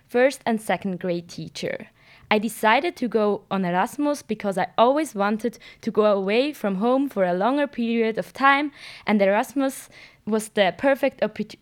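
Woman, 23, from Switzerland, student on a podcast, lively, quick restarts, slightly high voice. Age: 20s. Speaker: student guest. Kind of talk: casual chat.

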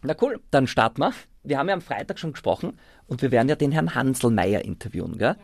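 A forty-year-old man with an Austrian accent talks casually and encouragingly. His voice sits at an ordinary male pitch. The sound is dry, with hardly any room on it. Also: very animated, fast, gravelly, no echo. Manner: informal, encouraging. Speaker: primary school teacher and musician. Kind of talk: casual explaining and coaching.

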